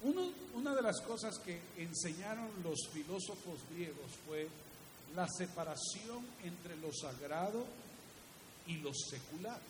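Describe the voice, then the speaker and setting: Male preacher, high-pitched voice, instructive delivery, recorded in a very reverberant hall. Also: expressive, a little slow. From Guatemala. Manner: didactic, with rhetorical questions and repeated key phrases. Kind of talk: teaching sermon. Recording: echoey hall reverb with faint hiss.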